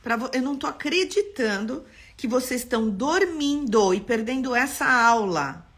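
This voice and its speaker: Woman, Paulista accent, architect hosting a live lesson; bright, expressive delivery. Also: on the high side, normal speed. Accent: Paulista Brazilian Portuguese. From São Paulo, Brazil. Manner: expressive, greets viewers by name. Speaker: architect teaching a live class.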